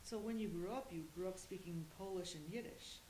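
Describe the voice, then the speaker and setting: A woman's voice: fairly low pitch, fairly quick, warm tone, echoey room, slight background noise, low-quality recording. A woman interviewing an older relative, stopping her to check and clarify details. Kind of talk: family interview.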